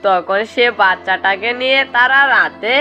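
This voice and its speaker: A woman's voice: mid-range voice, normal speed, pushed and bright, highs cut off. A woman reading scripted narration for a children's cartoon story.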